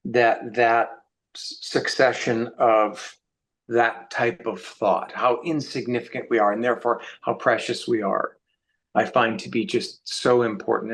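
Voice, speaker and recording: A man's voice: medium pitch, a bit bright. A man speaking as an interview guest, giving reflective, conversational answers.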